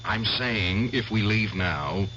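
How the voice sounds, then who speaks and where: A bitter, menacing veteran. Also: male voice, fairly low pitch, takes it slowly, sharp tone, a little hiss or hum. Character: obsessed veteran hunting an old comrade. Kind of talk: 1970s radio drama acting. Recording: dull vintage radio broadcast.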